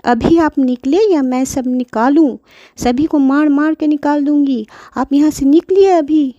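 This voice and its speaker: A woman's voice: fairly high pitch, lively intonation, slow pace, no echo, lo-fi sound. A woman voicing a cold, bossy daughter-in-law ordering her mother-in-law out. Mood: harsh. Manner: sharp, commanding.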